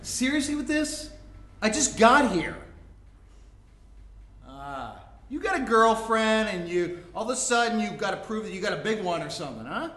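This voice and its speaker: A man's voice: high voice, sing-song, moderate pace, pushed and bright, full character voice. A man playing a brash, athletic older brother in his thirties who needles in mocking slang, in a staged comedic play reading. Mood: defensive. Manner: slangy, mocking, jock-like delivery. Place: indoor room before a live audience. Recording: roomy live stage recording.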